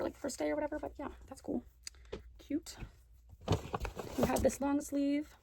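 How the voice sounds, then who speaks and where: A teenage girl vlogging casually at home, chatty and full of fillers, with a raspy voice. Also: sits high, dark tone. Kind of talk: casual chat. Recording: noisy home recording.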